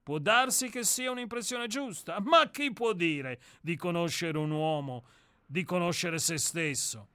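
A man's voice: high voice, measured pace, pushed and bright, clear, resonant tone, no echo. A man reading the part of a blunt, hard-drinking, bald novelist in a radio play, in a brusque, self-mocking way. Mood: wry. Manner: brusque, self-mocking first-person storytelling.

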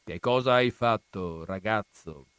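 Male reader as elderly patriarch, booming and commanding, dry close recording. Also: takes it slowly, a bit bright, clean tone, no room sound. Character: stern old Armenian grandfather, patriarch.

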